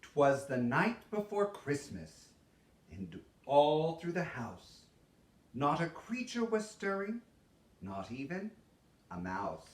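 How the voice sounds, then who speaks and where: A man giving a theatrical poetry recitation as a storyteller, with dramatic, expressive delivery and deliberate pauses. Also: on the high side. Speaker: storyteller reading a Christmas poem. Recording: roomy echo, distant microphone.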